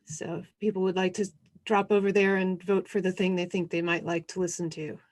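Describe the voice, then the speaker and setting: Woman running an online panel, chatty and informal, kinda halting as she explains stuff. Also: fairly low pitch, flat delivery, a little mellow. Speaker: co-host presenting an online convention session. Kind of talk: informal webinar introduction.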